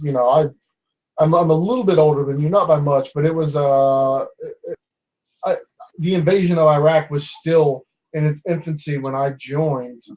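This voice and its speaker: Halting young man debating, lo-fi audio. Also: a little high, darkish tone. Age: 20s. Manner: halting, many restarts and fillers. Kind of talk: casual online debate.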